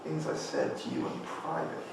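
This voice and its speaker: A man playing a wounded, indignant friend in a table read of a play, accusing and halting. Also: lightly acted. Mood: hurt, accusing. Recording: echoey room, far mic, clear noise.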